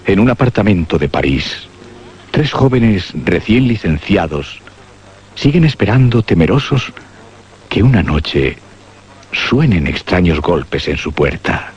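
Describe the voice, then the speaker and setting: A man giving a dramatic, scripted radio narration of a horror story, with suspenseful pauses. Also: sits low, very animated, darkish tone, husky. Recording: muffled radio drama recording. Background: prominent music.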